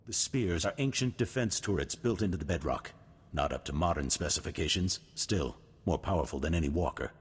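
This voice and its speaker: An actor playing a noble, resolute duke in a video game, husky, formal and stately in delivery. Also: male voice, deep voice, animated, brisk. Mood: grave, determined. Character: noble, resolute older duke, solemn war leader. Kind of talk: cinematic video game voice acting. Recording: long hall reverb, music behind.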